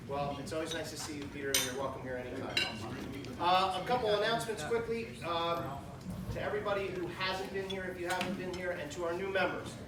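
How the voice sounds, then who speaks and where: A man presiding over a club meeting, giving upbeat announcements. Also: fairly high pitch. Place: breakfast meeting hall. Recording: echoey hall, distant mic, loud noise.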